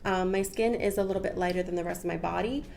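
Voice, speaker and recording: A woman's voice: lowish voice, steady tone, quick pace, vocal fry, darkish tone, a little hiss or hum. A young woman with a congested, stuffy voice, chatting casually through a makeup tutorial with lots of "um" and "like" fillers. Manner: chatty, rambling, with many "um" and "like" fillers. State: congested from a cold. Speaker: beauty vlogger. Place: small room at home, patterned wallpaper behind. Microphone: camera at close range, head and shoulders.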